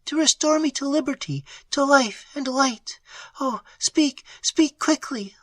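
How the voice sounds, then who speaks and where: A woman's voice: mid-range voice, dry recording. A woman voicing a starving young woman held captive in a dungeon, speaking weakly and pleadingly in a dramatized audiobook. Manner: pleading, weak, then frantic with hope.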